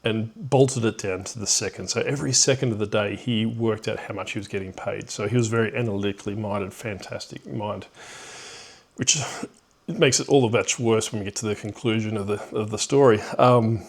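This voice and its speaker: A man's voice: fairly low pitch, fairly even intonation, brisk, creaky, husky. A middle-aged man telling a personal story off the cuff in a reflective, hesitant way, with rueful chuckles here and there.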